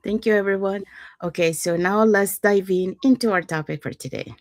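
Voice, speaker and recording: A woman's voice: medium pitch, moderate pace, darkish tone, dry recording. A woman from Panama hosting a casual online talk show, upbeat and welcoming. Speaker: host.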